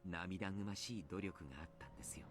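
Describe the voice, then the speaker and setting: An actor playing a gentle, courteous adult mentor with formal, sincere phrasing in a Japanese visual novel. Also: male voice, deep voice, very fast, faint music behind. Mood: solemn.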